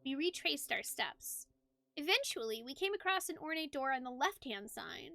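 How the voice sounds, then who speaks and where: A woman from the United States, chatty and expressive, livestreaming as she talks with viewers and reads game narration aloud. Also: sits high, moderate pace, creaky. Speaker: game streamer. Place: indoors, game livestream with music behind.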